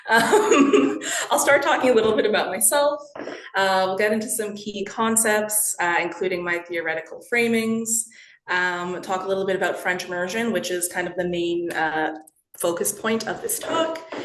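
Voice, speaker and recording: A woman's voice: lowish voice, fairly bright tone, roomy sound, poor audio. A woman in her thirties from Toronto, giving an academic talk remotely in a conversational way, with frequent fillers and self-corrections. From Toronto, Canada. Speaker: researcher giving a colloquium talk. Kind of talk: academic presentation.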